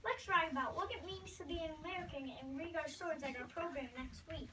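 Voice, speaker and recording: A small child with a high voice reads scripted lines for a class readers theater. The recording is noisy and the mic is well away from the speaker. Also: fast talker. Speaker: second-grade student performer. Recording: distant mic, clearly noisy school recording.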